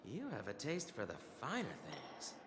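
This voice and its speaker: An actor playing a polite, polished bartender in a video game, theatrical and unhurried. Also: male voice, mid-range voice, huge pitch swings, gravelly, a bit bright, slight background noise, exaggerated voice. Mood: courteous. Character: courteous, polished male bartender serving guests. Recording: echoing reverb, game music behind.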